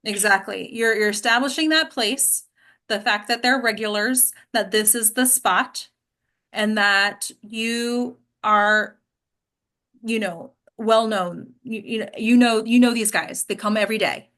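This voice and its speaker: Higher-voiced woman coaching actors. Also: measured pace, bright, forceful tone. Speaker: host and acting coach leading a script discussion. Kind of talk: informal group discussion, coaching.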